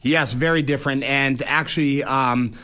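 A man of middling pitch talks as a guest in a casual sports interview. He sounds like a fighter explaining his trade in plain, informal speech, often tagging phrases with "you know". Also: steady tone, moderate pace, bright, forceful tone.

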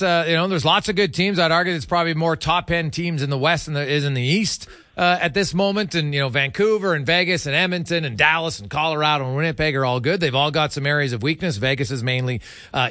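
Bright, forceful male sports radio host. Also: fairly high pitch, dry recording. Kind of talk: conversational sports radio hosting.

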